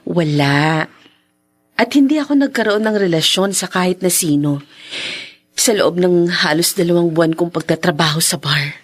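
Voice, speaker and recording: A woman's voice: on the low side, normal speed, close to an everyday voice. A woman playing a proud, heartbroken young woman in an earnest, melodramatic radio drama. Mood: guarded, bitter. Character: wounded, proud young woman still secretly in love. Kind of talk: Tagalog radio drama acting.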